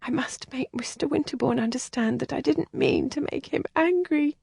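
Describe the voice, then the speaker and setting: Woman reading as a fragile shy young lady, high breathy voice, trembling and pleading, close dry storybook sound. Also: normal speed, darkish tone, no room sound, full character voice. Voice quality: breathy, trembling, often whispered. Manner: pleading and anxious. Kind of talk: audiobook character voicing.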